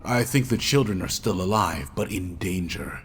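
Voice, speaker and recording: An actor playing an earnest, mysterious member of a secret order in a game cutscene, speaking in a measured, formal way. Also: male voice, deep voice, animated, slight background noise. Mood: grave, urgent. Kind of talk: video game cutscene acting.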